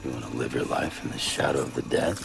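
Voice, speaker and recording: An actor playing a gruff, weary male courier in a video game cutscene, speaking in a blunt, terse way with a gravelly voice. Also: extremely low pitch, quick pace, music in the background, full character voice. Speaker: porter character.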